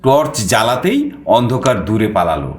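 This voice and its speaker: An actor playing an adventurous, eager explorer who narrates his own suspense audio story in an expressive manner. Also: mid-range voice, moderate pace, natural voice. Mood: suspenseful, excited. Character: male first-person narrator, adventurous, eager explorer visiting Paris. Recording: echoing voice over background music.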